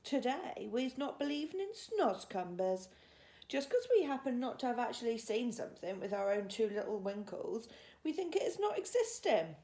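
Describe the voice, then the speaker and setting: Woman putting on an emphatic old giant man, lively swoops. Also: fairly high pitch, normal speed. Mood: teasing. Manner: emphatic, mangled grammar and invented words. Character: big friendly old male giant, emphatic, muddled speech. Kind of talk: children's storybook character reading.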